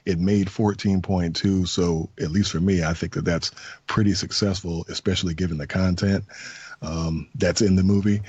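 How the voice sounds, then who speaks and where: A low, husky man hosting a podcast in a loose, chatty way, full of fillers. His voice is dry and a little dull, as if recorded on a lo-fi setup. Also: normal speed, no room sound. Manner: relaxed, chatty, many fillers. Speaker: podcast host. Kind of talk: casual movie review chat. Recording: dull lo-fi sound.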